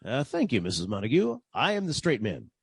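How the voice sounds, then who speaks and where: An actor playing a wry, deadpan private detective narrating his caper in 1940s radio style. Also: medium pitch, brisk, gravelly, slightly rough, dry recording, studio quality, heavily acted. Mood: dry, polite. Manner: deadpan, wisecracking.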